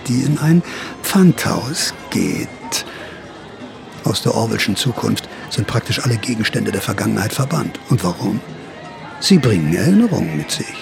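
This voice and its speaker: Wry male radio narrator, slightly creaky voice. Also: on the low side. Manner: wry, theatrical, incredulous. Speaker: narrator and interviewer. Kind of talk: scripted first-person radio play narration.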